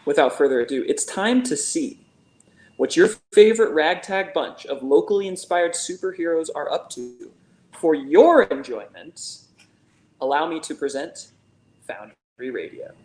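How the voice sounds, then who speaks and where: A young man introduces a radio play with showy, scripted flourish. His pitch moves in big, lively swings. The sound is a video call with a strong room echo. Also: a little slow. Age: young adult. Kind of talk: theatrical scripted announcing. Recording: echoey video call audio.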